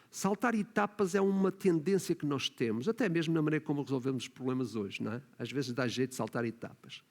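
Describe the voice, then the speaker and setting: A man's voice: on the high side, a little mellow. A man with a European Portuguese accent preaching a sermon as pastor, often adding tag questions and rising to emphatic points. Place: church hall. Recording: long reverb of a church hall.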